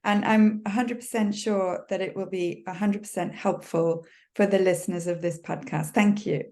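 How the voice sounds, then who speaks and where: A woman's voice: mid-range voice, steady tone, normal speed, warm tone. A woman hosting a podcast, from Quebec and a native French speaker, speaking upbeat and straight to her listeners. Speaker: podcast host. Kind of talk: podcast interview and promotional outro.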